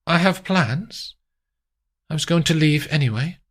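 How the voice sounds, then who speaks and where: A man reading aloud voices an imperious wealthy woman who gives crisp orders. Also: low-pitched, animated, measured pace, voice pushed up, heavily acted. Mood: commanding. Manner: clipped, haughty, gives orders.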